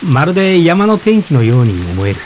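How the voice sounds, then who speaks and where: An actor playing a polite, gentle, self-effacing expectant father in reflective radio drama dialogue. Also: a little high, very animated, brisk, a little hiss or hum. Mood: gently reflective. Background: rain.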